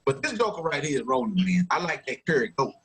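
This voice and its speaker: A man's voice: medium pitch, big pitch swings, fast, a bit bright. A man hosting a podcast in casual, slangy banter peppered with profanity. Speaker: podcast host.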